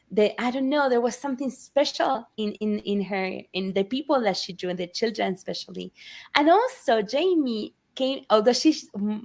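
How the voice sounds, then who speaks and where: A middle-aged woman author speaks at an average pitch. She tells personal stories conversationally, as a guest being interviewed. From Argentina. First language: Spanish. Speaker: picture book author, guest. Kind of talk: warm personal storytelling in interview.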